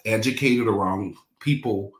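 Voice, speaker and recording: Deep-ish guy from the bahamas, echoey room, upbeat and playful. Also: measured pace. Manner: warm, enthusiastic, playful rhetorical questions. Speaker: speaker and author. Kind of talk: casual conversation.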